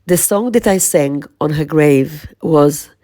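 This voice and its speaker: Slow, husky-toned woman podcast host. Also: sits low, warm tone. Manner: slow, measured, lingering on lists. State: voice strained, losing her voice. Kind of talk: reflective spoken podcast monologue.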